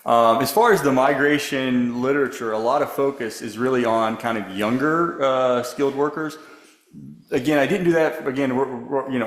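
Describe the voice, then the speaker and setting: Rambling man answering in an echoey room. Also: medium pitch. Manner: rambling, self-correcting, many restarts. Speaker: guest lecturer answering questions. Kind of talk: academic Q&A answers. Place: lecture room.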